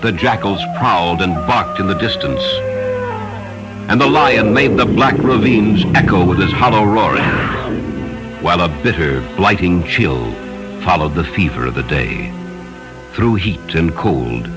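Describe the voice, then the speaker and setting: Deep-voiced man narrating a solemn tale. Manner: solemn, formal storytelling. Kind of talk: scripted literary story narration.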